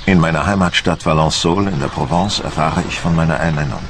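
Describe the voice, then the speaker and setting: An actor playing a proud, dutiful French admiral who recounts his campaign in a solemn, measured, formal way for a documentary radio play. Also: extremely low pitch, noisy background. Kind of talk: dramatised documentary narration.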